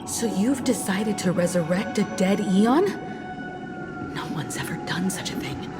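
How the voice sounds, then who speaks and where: A woman's voice: medium pitch, lively intonation, measured pace, close to an everyday voice. An actress playing a composed, firm adult woman who speaks steadily and resolutely. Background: game music.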